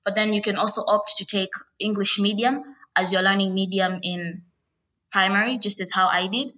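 A woman's voice: mid-range voice, fairly even intonation, moderate pace, pushed and bright, low-quality recording. A young woman from Tanzania with a Tanzanian accent, answering interview questions casually with many "uh" and "like" fillers. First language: Swahili. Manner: hesitant, lots of "uh" and "like" fillers. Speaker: guest.

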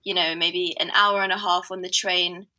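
A woman's voice: on the low side, little pitch movement, moderate pace, pushed and bright. A woman from southwest England with a near-standard southern English accent, chatting as an interview guest with lots of fillers and hedges. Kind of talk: casual interview chat.

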